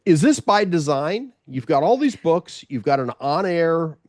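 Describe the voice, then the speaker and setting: A man's voice: on the high side, very animated, a little slow, a little mellow, dry recording. Middle-aged guy from LA hosting a podcast over a video call, rambly, dead dry sound. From Los Angeles. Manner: rambling, restarts sentences. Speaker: podcast host, former radio host. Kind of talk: casual interview chat.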